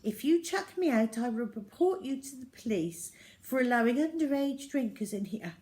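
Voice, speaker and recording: A woman's voice: high voice, animated, normal speed, dark tone, voice pushed up. A woman voicing a plucky, determined young girl, earnest and insistent, in a children's storybook reading. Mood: defiant.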